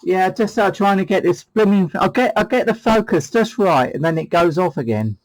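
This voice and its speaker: A man's voice: sits high, expressive, moderate pace, rough recording. A British man hosting a CB radio demo, chatting casually in a hesitant, rambling way. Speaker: CB radio hobbyist and channel host.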